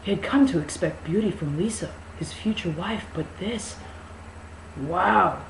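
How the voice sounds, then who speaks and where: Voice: mid-range voice, moderate pace. A narrator giving a mock-dramatic amateur reading of a novel, with casual introductory talk. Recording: echoey room with faint noise.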